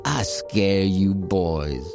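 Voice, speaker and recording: An actor playing a gleeful, taunting cadaver who hosts a horror show, with a slow, menacing, theatrical delivery. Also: male voice, sits low, creaky, heavily acted. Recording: music behind the voice.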